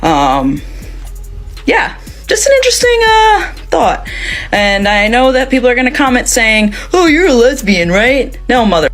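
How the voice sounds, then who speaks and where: A woman with a deep alto voice talking frankly to camera, pausing often with fillers. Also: wildly swooping pitch, soft background music. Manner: frank, hesitant with many fillers. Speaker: social media poster. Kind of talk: casual direct-to-camera talk.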